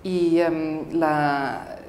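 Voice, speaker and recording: Woman, native English speaker, thirties, low voice, halting thoughtful answers, echoey room, faint rumble. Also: steady tone, darkish tone. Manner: thoughtful, halting, with frequent fillers and restarts. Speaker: filmmaker interviewee. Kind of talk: casual interview answers.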